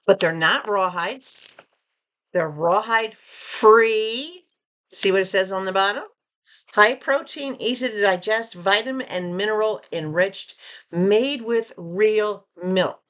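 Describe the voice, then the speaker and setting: A woman vlogger chatting casually through an unboxing, reading labels aloud and asking viewers questions, a bit hoarse from losing her voice. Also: medium pitch, slow pace, a bit bright. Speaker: vlogger reviewing purchases.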